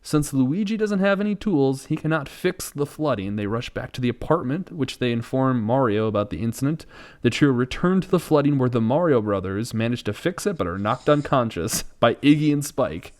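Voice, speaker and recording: A man's voice: mid-range voice, expressive, normal speed, darkish tone. A man co-hosting a podcast in casual comedic banter, with a sarcastic and amused manner. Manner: sarcastic, amused, chuckling through sentences. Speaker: podcast co-host. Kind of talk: casual comedic banter, reading out a plot synopsis with asides.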